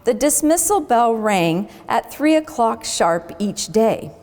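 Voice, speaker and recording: Female zoo educator for children's storytime: fairly high voice, slow pace, recorded with long reverb. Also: sing-song, a little mellow. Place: animal barn at a zoo. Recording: long echoing hall reverb.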